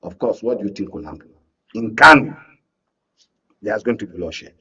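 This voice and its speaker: A man's voice: fairly low pitch, expressive, normal speed, pushed and bright, slightly rough, recorded from a distance, highs cut off. A Nigerian man in a political panel discussion, speaking insistently and repeating phrases to hold the floor. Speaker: panel guest, media analyst. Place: radio and TV studio.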